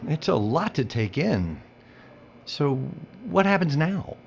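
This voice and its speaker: A woman plays a bewildered female prisoner in an audio drama. Her speech is halting, indignant and disbelieving, with pitch that leaps about. The sound is slightly dull. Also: very animated, gravelly, slight background noise, exaggerated voice. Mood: shaken. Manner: halting, stammering, incredulous.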